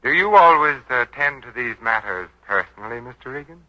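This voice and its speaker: An actor in a 1940s radio drama playing a suave, commanding business tycoon with urbane, polished courtesy. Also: male voice, mid-range voice, lively intonation, a little fast, bright, forceful tone. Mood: polite, amused. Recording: dull 1940s radio recording.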